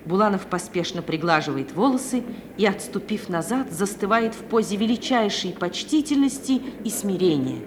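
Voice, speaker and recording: A woman's voice: mid-range voice, lively intonation, moderate pace. A woman narrator reading scripted stage directions for a 1950s Russian radio play. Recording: faintly hissy 1950 radio recording.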